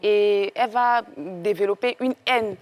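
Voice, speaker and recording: Medium-pitched woman explaining things. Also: a little slow. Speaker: talk show panelist. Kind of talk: casual panel discussion.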